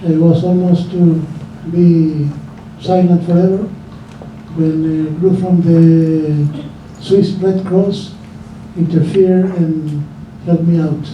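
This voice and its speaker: A man from Chile with a Chilean accent and Spanish as his first language, speaking deliberately from the audience with pauses between phrases. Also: a little high, level tone, a little hiss or hum. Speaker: audience member. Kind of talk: personal testimony to an audience. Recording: very echoey room, dull distant sound.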